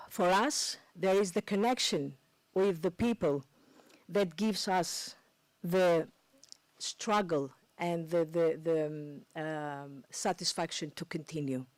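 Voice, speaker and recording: Female speaker at a seminar, lower register, hesitant phrasing, close dry mic. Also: no room sound. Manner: halting, searching for words with many fillers. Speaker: speaker presenting a street work organisation. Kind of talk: formal presentation with a read-out closing text. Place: press club seminar room.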